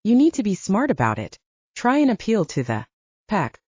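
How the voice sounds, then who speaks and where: A woman's voice: mid-range voice, huge pitch swings, normal speed, a little mellow, slightly rough, no room sound, lo-fi sound. A woman voicing a blunt, snappy, wry female werewolf beta in an audiobook. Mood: snappy, urgent. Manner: snappy, wry, humorless joking.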